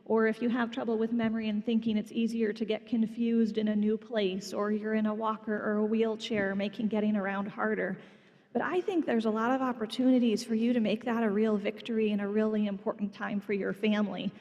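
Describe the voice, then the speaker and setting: A woman clinician speaking gently and reassuringly to patients and families on a symposium panel. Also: medium pitch, level tone, a little fast, dull audio. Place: conference hall. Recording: long reverb in a hall.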